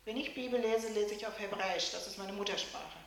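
A woman's voice: mid-range voice, brisk, fairly bright tone. A woman interpreting a sermon into German phrase by phrase, sometimes explaining the literal wording. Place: church. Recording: very echoey church hall.

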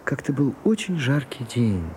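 An actor playing a shy, prim gentleman in a radio play, confiding haltingly and easily flustered. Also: medium pitch, sing-song. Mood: wistful, nostalgic. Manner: hesitant, halting, bashful. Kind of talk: Russian radio play acting. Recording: muffled Soviet-era radio recording, some hiss.

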